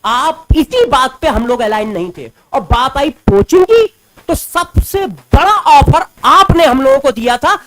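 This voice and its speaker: ऊँची, उतार-चढ़ाव भरी आवाज़ में जोशीली महिला. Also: female voice, high-pitched, animated, unhurried, background noise. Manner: emphatic, repeats figures for stress. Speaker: educator speaking to students. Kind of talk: impassioned commentary to camera.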